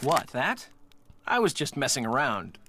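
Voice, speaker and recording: A man's voice: mid-range voice, big pitch swings, a little slow, bright, forceful tone, slight background noise. A man acting a breezy, evasive real-estate developer in a video game, chatty and emphatic. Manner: chatty, emphatic, brushes things off. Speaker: video game character actor. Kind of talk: scripted game dialogue.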